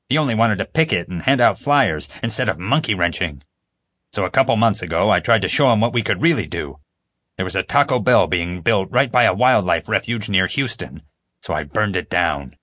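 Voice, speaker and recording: A male narrator plays a gravelly-voiced crook from an audiobook. He is a cowardly bully, desperate and boastful by turns. The sound is dry and close. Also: lively intonation, moderate pace, bright, forceful tone, no room sound. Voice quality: deep, gravelly. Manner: wailing, desperate pleading. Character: James, blubbering male criminal, cowardly, pleading, boastful.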